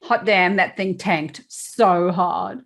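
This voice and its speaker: A South African woman hosting a podcast, her voice a little on the low side. She chats loosely and thinks out loud with plenty of asides. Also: slow. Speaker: podcast host. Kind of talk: casual podcast chat.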